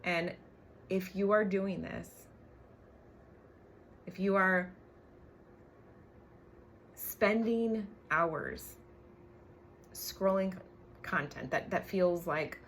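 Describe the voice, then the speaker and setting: A woman's voice: on the low side, extremely slow, pauses often, fairly bright tone, slight background noise. A woman coach giving an emphatic motivational livestream talk, swearing casually and often checking in with short tag questions. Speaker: brand coach and business co-owner.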